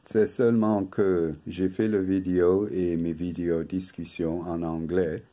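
An older man, native English speaker from England, reading a prepared talk from paper in a slow, measured way at home. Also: low-pitched, little pitch movement, mellow. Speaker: video host. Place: home room with bookshelf.

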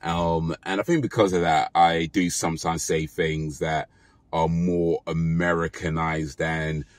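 A British man in his thirties talking casually to his phone in a parked car, relaxed and rambling with fillers and self-corrections. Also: low-pitched, unhurried, dry recording. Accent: British English. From Britain. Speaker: vlogger. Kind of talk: casual talk to camera. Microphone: phone camera held close.

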